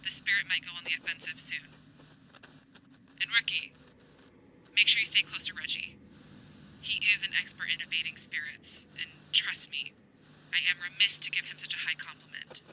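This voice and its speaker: A commanding female captain of paranormal investigators acted by a woman in an audio drama. Her voice is very bright and tense, with faint noise around it, and she gives orders in a watchful, businesslike way. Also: lowish voice, steady tone, normal speed, pauses often, rough recording. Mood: cautioning. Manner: businesslike, cautioning. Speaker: team captain character. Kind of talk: audio roleplay acting.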